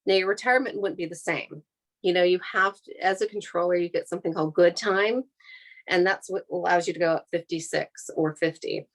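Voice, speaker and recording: Lower-pitched American woman explaining her job. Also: fairly even intonation, fairly quick. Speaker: air traffic controller giving a career talk. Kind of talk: informal explanatory talk to an audience.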